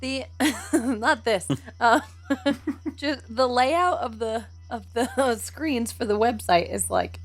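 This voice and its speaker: A woman's voice: fairly high pitch, very animated, slow pace. A woman chatting and joking as a tabletop game player, with a wry, playfully complaining manner. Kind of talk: casual joking chat. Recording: faint music underneath.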